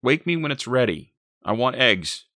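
A man voicing a gruff, dismissive husband in an audiobook, brusque and scornful. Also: medium pitch, sing-song, normal speed, bright, forceful tone, dry recording, clean studio sound, pitched above the performer's own voice.

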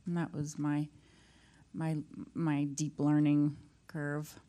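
A woman's voice: low-pitched, monotone, takes it slowly, warm tone. A woman, an actress, answering questions at a screening Q and A in an earnest way. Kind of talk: post-screening Q and A.

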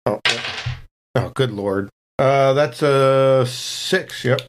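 A man's voice: medium pitch, drawn-out words. A man playing a tabletop roleplaying game, casually telling what his character does in folksy phrasing.

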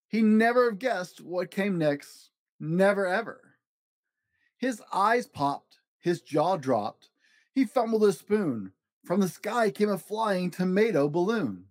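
Animated storybook reader with swooping pitch. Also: dry recording, studio quality. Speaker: picture book reader. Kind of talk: animated rhyming children's storybook narration.

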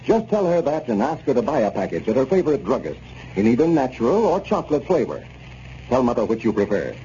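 Casting: 1930s American male radio announcer, mellow but very animated, faint background hiss. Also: medium pitch, fast. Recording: muffled, noisy 1930s radio recording.

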